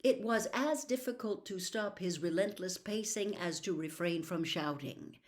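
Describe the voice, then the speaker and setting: Woman reading a novel out loud, mid pitched, expressive storyteller delivery, bit dramatic. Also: unhurried. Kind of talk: scripted storybook narration.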